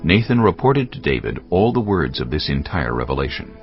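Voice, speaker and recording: A man's voice: very deep voice, sing-song, a little fast. A man reading scripted audio Bible narration as the narrator, with music behind him. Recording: muffled, band-limited audio.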